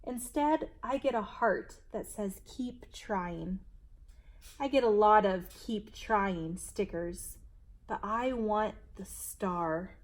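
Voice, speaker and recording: A woman reads a children's story aloud as a story time host. Her pace is slow and deliberate, with a mid-range pitch. The delivery is expressive and measured for young listeners.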